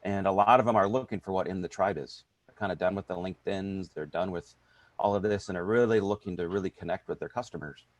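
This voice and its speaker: A man's voice: low-pitched, fast, dry recording. A man in his fifties introducing himself on a video call, upbeat and rambling. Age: 50s. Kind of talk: self-introduction.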